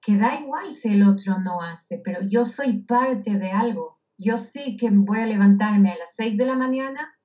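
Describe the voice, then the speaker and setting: A woman from Israel, a guest in a casual interview, speaking slowly and thoughtfully with many hesitations. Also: medium pitch, lively intonation, mellow. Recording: dull lo-fi audio.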